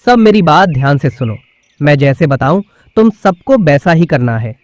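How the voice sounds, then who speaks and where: A firm cartoon pigeon leader acted by a man, with a fairly high male voice. He speaks decisively, laying down instructions, in a very dry recording. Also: big pitch swings, normal speed, a little mellow, no room sound. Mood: resolute. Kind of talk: children's cartoon dialogue.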